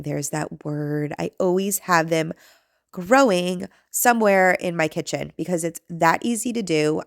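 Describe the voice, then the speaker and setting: Raspy, nasal chatty mom vlogger. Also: female voice, lowish voice, no room sound, clean studio sound. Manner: rambling, self-deprecating, tangent-prone. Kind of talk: chatty voice-over narration.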